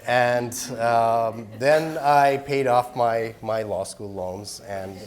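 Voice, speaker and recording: A man's voice: medium pitch, takes it slowly. Earnest middle-aged man giving a public talk. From Iran. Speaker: executive director of a human rights group. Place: live public forum.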